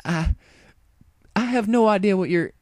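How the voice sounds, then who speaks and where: Man doing a flustered country farm mare, high-pitched. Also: a little slow, darkish tone, no room sound, clean studio sound. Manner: folksy, exasperated, stammering.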